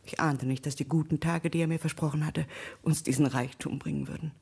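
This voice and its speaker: An actress playing a middle-aged, solemn, blindly loyal wife in a radio drama, husky and often hushed. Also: female voice, sits low, steady tone, a little fast, heavily acted. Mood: solemn, devoted. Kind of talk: German radio drama acting.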